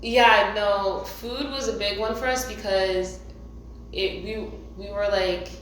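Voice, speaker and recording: A woman's voice: medium pitch, steady tone, fairly bright tone. A young woman co-hosting a podcast, chatting casually and often chiming in with short replies. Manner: quick, casual, backchannels a lot. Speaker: podcast co-host. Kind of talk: casual chat. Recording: very echoey room, faint noise.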